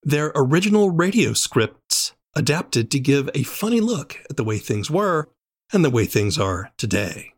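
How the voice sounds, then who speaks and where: A man's voice: medium pitch, sing-song, measured pace. A man hosting a podcast, reading scripted introductions in a narrator's style. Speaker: podcast host.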